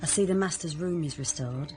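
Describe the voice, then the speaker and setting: Actress playing very low-voiced, guarded woman servant. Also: dark tone, faint music behind, natural voice. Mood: guarded, probing. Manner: measured, insinuating. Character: guarded, sly female servant in a gothic manor. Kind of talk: period radio drama acting.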